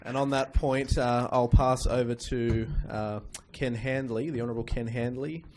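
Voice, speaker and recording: Male panel moderator, mid-pitched, courteous and businesslike, live session with slight background noise. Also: fairly even intonation, normal speed. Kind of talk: chairing a conference question session. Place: indoor conference session.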